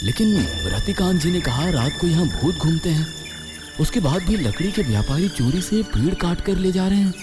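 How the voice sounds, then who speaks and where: A man's voice: fairly high pitch, expressive, moderate pace, over music, close to an everyday voice. A man playing a bold, inquisitive police inspector in a Hindi horror audio story, with dramatic questioning delivery. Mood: suspicious, resolute.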